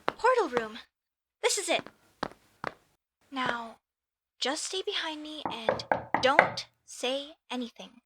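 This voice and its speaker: A woman's voice: high voice, takes it slowly, long pauses, very noisy. A woman playing an earnest, proud young female elf mage who speaks expressively and intimately to the listener in an audio roleplay. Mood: chatty, helpful. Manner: expressive, chatty, mock-indignant at times, softening to sincere.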